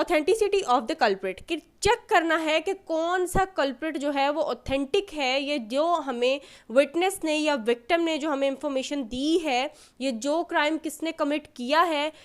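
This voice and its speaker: High-pitched Pakistani woman lecturing. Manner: didactic, repeats and rephrases points. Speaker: law lecturer. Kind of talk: explanatory lecture mixing Urdu and English terms.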